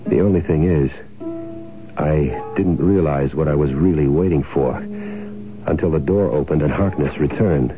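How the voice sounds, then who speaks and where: A man's voice: a bass voice, unhurried. An actor playing a sly, self-satisfied swindler who tells his own crime story in wry, casual slang, in the style of 1970s radio drama. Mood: rueful. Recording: tinny, band-limited old radio broadcast, music behind.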